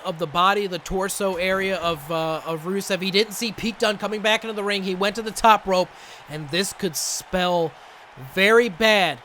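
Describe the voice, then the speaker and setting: A young man doing excitable play-by-play commentary over a wrestling video game, coughing and saying he is losing his voice. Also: high-pitched, slight background noise. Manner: excitable, rising to shouts at big moments. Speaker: gaming channel host and commentator.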